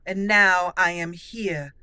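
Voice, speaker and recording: A woman's voice: lowish voice, fairly even intonation, slow pace, sharp tone, smooth, dry recording. A woman voicing a resolute, commanding female elf mage in a game roleplay, firm and declamatory. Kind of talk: acted roleplay dialogue over a game.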